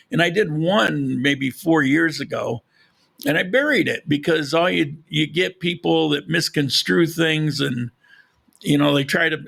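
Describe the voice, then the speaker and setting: Guy hosting a live podcast, gravelly and kinda hoarse, rambles on, slightly high voice. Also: a bit bright. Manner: rambling, conversational, frequent restarts. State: losing his voice. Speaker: podcast host. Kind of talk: casual live podcast chat. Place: lives in Colombia.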